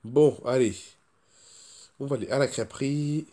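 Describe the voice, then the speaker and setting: A man talks casually to his vlog audience at a middling pitch. He sounds stuffy-nosed from a cold, with a sore throat he keeps clearing, and he rambles along, often repeating himself. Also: moderate pace, warm tone. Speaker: vlogger. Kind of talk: casual first-person vlog chat.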